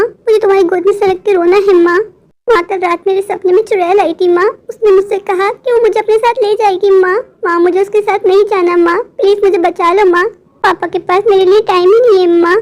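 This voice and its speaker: A woman's voice: very high voice, a little mellow. A woman voicing a lonely, innocent five-year-old girl in a Hindi moral cartoon, speaking in a plaintive childlike way. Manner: plaintive, childlike, addresses parents over and over. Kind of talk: melodramatic Hindi cartoon storytelling.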